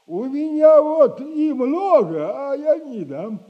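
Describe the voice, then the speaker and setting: An actor playing a sly, sardonic old retired soldier who lends money, in a 1960s radio play. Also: male voice, very high-pitched, expressive, lo-fi sound, full character voice. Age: older. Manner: slow, teasing, sardonic advice.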